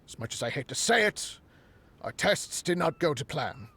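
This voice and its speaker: A man's voice: a little high, big pitch swings, normal speed, sharp tone, slightly rough, exaggerated voice. A man voicing an irritable, defensive inventor and grudging minor villain in a video game, impatient and sighing.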